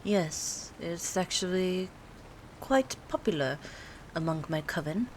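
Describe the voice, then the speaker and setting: A woman acting an aloof, teasing old vampire in an ASMR roleplay, speaking softly and formally with hesitant pauses, often near a whisper. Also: on the low side, animated. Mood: calm, explaining. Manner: formal, hesitant, haughty phrasing with drawn-out pauses. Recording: clear background ambience noise. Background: rain, city ambience, soft music.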